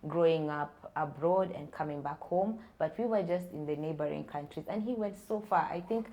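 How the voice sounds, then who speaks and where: A young woman co-hosts a podcast, her voice very low and mellow. She keeps it chatty and curious, asking her guest one follow-up question after another. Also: normal speed. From Kenya. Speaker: podcast co-host. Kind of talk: casual podcast hosting.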